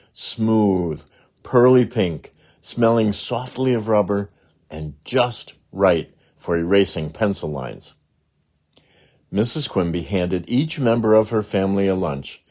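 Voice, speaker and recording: Male reader for children's chapter book, raspy tone, animated and expressive delivery. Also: deep voice. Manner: acts out character dialogue. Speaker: audiobook reader. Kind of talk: expressive children's storybook narration.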